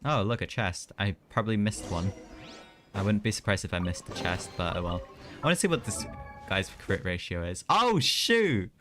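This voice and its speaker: A man's voice: on the low side, sing-song, normal speed. A young man doing casual live gameplay commentary, chatty and slangy with mild exclamations. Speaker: game streamer. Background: game music.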